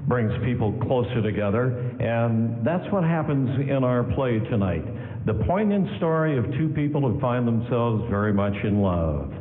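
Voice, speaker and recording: Formal male radio announcer, echoey tinny hall. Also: mid-range voice. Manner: formal, theatrical. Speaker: announcer and narrator. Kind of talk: scripted 1940s radio announcing and narration. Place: live on stage in a hall. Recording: phone-like, echoey hall broadcast, faint music.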